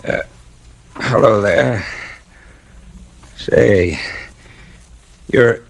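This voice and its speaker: An actor playing an ailing, worldly middle-aged newspaper columnist in a 1940s radio drama, husky and gravelly, speaking haltingly and confidingly. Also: male voice, on the low side, full character voice. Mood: wry, friendly. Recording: vintage 1940s radio recording.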